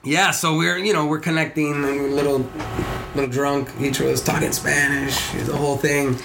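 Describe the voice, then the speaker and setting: A man's voice: level tone, moderate pace, gravelly, background noise. A man with a Puerto Rican accent, a podcast guest telling a story casually, with many restarts and voiced quotes of others. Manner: rambling with frequent restarts, voices others in quotes with higher pitch.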